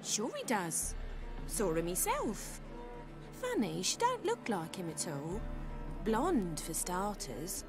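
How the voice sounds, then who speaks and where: A woman's voice: medium pitch, very animated, slow pace, music in the background. A woman acting a naive, gushing young woman smitten with a bard in a video game, dreamy and chatty. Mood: dreamy, guileless.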